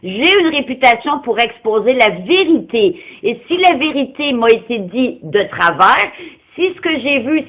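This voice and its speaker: Woman, Quebec French, non-native speaker, higher-pitched, insistent, confrontational, phone-call argument. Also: a bit bright. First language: not French, non-francophone. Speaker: caller confronting someone over animal welfare.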